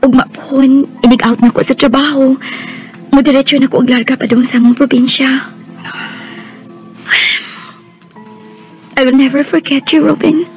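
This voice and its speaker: A gentle young working woman in a Bisaya radio drama, her voice high and soft-spoken. She has a Visayan Filipino accent and a tactful manner. Faint music plays behind her. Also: fairly even intonation, a little fast. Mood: tender, parting.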